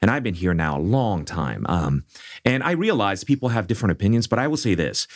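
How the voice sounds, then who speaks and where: A middle-aged man born in South Korea, leading a seminary, speaking in an earnest, measured way with frequent restarts. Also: lowish voice, big pitch swings, no room sound, clean studio sound. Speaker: seminary leader. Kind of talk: conversational interview.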